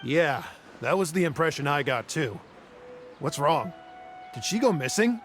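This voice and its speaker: A man's voice: fairly high pitch, big pitch swings, unhurried, soft background music. A man voicing a friendly, thoughtful working townsman in a video game. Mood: thoughtful, concerned. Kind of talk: scripted game dialogue.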